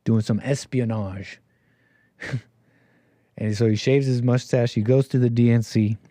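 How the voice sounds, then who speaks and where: A man's voice: medium pitch, steady tone, measured pace, mellow, no room sound, studio quality. An American man hosting a call-in show, rambling casually with lots of restarts and laughs.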